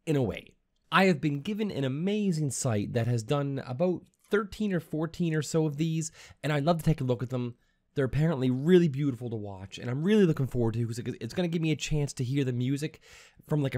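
A man in his thirties chatting casually and upbeat to viewers as a reaction video host in a home studio. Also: medium pitch. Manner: animated, upbeat. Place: small home studio room.

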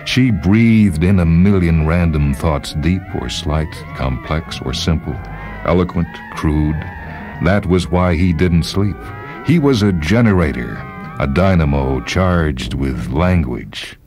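Male narrator, extremely deep. Dramatic short-fiction reading, music bed. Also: animated, slow pace. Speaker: narrator, story reader. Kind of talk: dramatic literary story narration.

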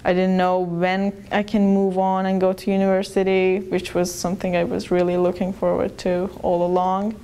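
A young woman from Iran, interviewed in a small plain room on a lapel mic, telling her story in a thoughtful, soft-spoken way with some restarts. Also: fairly low pitch, monotone, normal speed, hardly any pauses, smooth. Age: young adult. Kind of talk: personal account.

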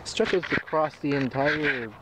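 A man's voice: on the high side, takes it slowly. A young man in casual vlog talk, rambling and chatty with frequent laughs, filming himself on an abandoned tennis court with a handheld camera. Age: young adult. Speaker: vlogger. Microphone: handheld camera mic. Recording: outdoor camera audio with background noise.

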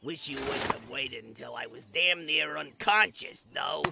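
Guy doing a cocky little fairy crook, super croaky, taunting, slow drawn out talk, fantasy roleplay. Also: mid-range voice, very noisy. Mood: sly, cocky. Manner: mocking, sly, rascally. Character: cocky, taunting small male fairy outlaw. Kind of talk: amateur fantasy audio roleplay.

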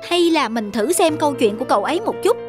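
A woman's voice: sits high, lively intonation, fast talker. A woman voicing an anxious, insecure chubby schoolboy in a cartoon, fretful and self-questioning. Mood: anxious scheming. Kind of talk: cartoon dubbing. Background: prominent music.

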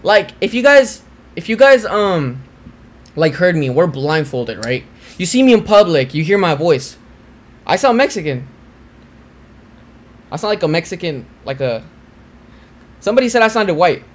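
A young man hosts a live reaction stream from a small cluttered room. His voice sits fairly high and swings widely. He chats loosely to viewers and often trails off mid-thought. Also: very animated, moderate pace, pauses often. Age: young adult. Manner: chatty, talks to viewers, trails off and restarts.

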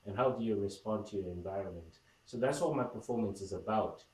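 A man in his thirties from Zimbabwe, a performance artist who speaks to the camera about his work in a calm, reflective way, with many fillers and restarts. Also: lowish voice, a little fast. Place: indoor room with paintings on the wall. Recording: roomy, somewhat distant sound with faint music.

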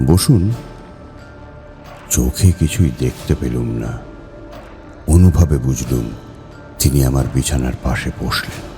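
A man reading a ghost story aloud in a measured, dramatic storytelling manner. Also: extremely low pitch. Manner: measured, acts out the dialogue. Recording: background music under the voice.

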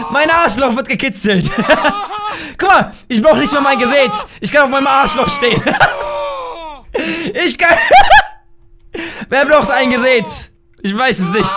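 A hoarse young man in his twenties, loudly and playfully commentating a game from a small room at home, talking into a desk mic while wearing a headset. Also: very high voice, heavy background noise. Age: young adult. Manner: loud, shouty, laughing, playful exclamations. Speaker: gaming YouTuber. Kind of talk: excited gameplay commentary.

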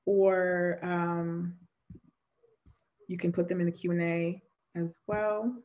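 A woman's voice: on the low side, little pitch movement, slow pace, phone-quality sound. A young woman moderating an online Q&A over a video call from a room at home. She explains admissions steps and relays questions from the chat. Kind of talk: informational webinar Q&A. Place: home room with framed pictures.

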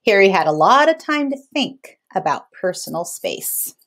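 A woman in her thirties reading a picture book aloud to children in an expressive, teacherly way. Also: medium pitch, moderate pace. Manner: teacherly, checks in with listeners.

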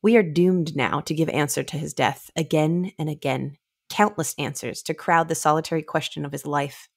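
A woman's voice: sits low, steady tone, normal speed, creaky. A young woman co-hosting a podcast, chatting casually about books and reading passages aloud into a close microphone. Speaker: podcast co-host. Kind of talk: casual book discussion with read-aloud passages. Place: indoor room, remote video call. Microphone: close studio microphone.

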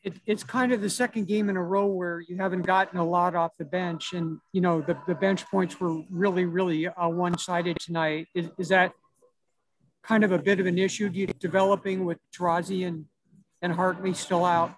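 A man reporter asks a hesitant question at a press conference, repeating words as he goes. His voice is high for a man and stays flat. The sound is dry and close. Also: moderate pace, dry recording, low-quality recording. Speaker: sports reporter.

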